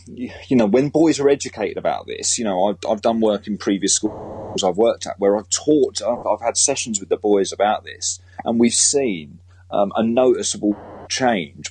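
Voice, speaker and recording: Husky, low-voiced British man, earnest. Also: animated, moderate pace, darkish tone, dry recording, low-quality recording. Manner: earnest, emphatic. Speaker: school teacher and author, interview guest. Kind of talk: conversational interview.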